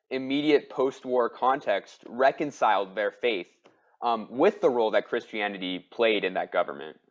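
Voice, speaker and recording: A man's voice: medium pitch, lo-fi sound. A man hosting a podcast interview who asks questions in a friendly, polite way with a few fillers. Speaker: podcast host.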